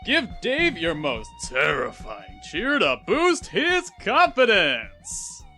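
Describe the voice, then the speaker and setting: Theatrical male game-show host: teasing, highish, extremely animated, music behind. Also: takes it slowly, exaggerated voice. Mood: teasing, showy. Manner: theatrical announcer patter. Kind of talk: visual novel game show hosting.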